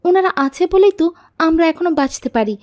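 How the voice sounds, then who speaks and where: A woman's voice: very high voice, expressive, fast, darkish tone, dry recording, studio quality. A woman voicing a pious, earnest little girl in a moral cartoon, speaking politely and instructively. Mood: firm, admonishing. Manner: polite, didactic. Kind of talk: scripted moral cartoon dialogue.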